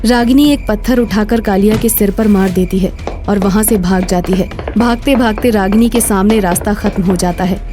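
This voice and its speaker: A woman's voice: medium pitch, normal speed, music in the background. A woman narrating a scripted story as its storyteller.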